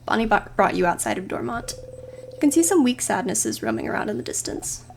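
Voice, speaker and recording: A woman's voice: fairly high pitch, expressive, fairly quick, vocal fry, slight background noise. A woman doing let's play commentary and reading a video game's narration aloud. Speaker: streamer playing and voicing a video game. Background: faint game music.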